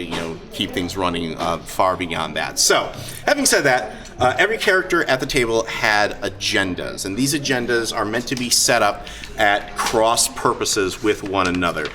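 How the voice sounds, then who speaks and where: A man hosting a live event as game master, talking off the cuff in a halting way and often repeating words. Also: lowish voice, lively intonation, sharp tone. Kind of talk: unscripted talk to a live audience. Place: busy gaming convention. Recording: live convention hall, heavy crowd noise.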